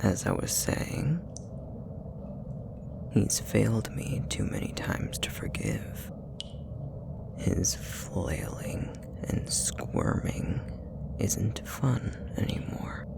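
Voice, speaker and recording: Manipulative demon, played by a male actor for whispered ASMR roleplay: very gravelly, unhurried, sweetly threatening. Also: fairly low pitch, animated, full character voice. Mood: taunting, sadistic. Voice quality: breathy, creaky whisper. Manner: slow, taunting, mock-affectionate. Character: menacing, possessive male puppetry demon, sadistic and manipulative.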